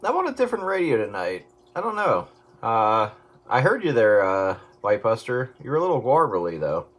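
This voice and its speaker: A man's voice: mid-range voice, very animated, normal speed. A man in casual CB radio chat, talking in a folksy way with radio jargon over very noisy, clicking radio audio.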